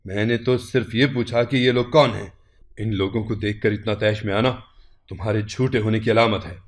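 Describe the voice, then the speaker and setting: A man voicing a stern, disappointed older uncle in an audio story, grave, reproachful and measured. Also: lowish voice, steady tone, pitched below the performer's own voice, close to an everyday voice.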